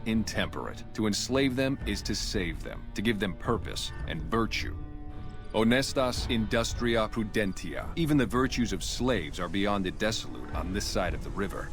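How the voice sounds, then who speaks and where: A man playing a stern, contemptuous, zealous slave master with a haughty, formal manner in a video game. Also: fairly low pitch. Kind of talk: video game dialogue acting.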